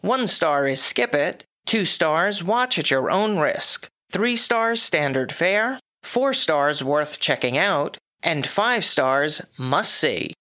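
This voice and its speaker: A man hosting his own podcast, reading a scripted movie review with dry, wry asides, his voice a little hoarse from a cough. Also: fairly high pitch, animated, slow, few pauses, sharp tone. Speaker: podcast host.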